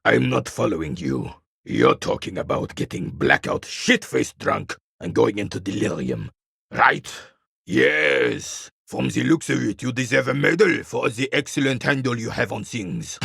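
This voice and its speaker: An actor playing a bitter, proud old war veteran in a video game, speaking with a gravelly rasp and curt, scornful disdain. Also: male voice, mid-range voice, lively intonation, a little slow, a bit bright, dry recording, studio quality, exaggerated voice. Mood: curt, dismissive. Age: older. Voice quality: gravelly, raspy. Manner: curt, scornful, dismissive, old-soldier pomp. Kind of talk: video game character dialogue.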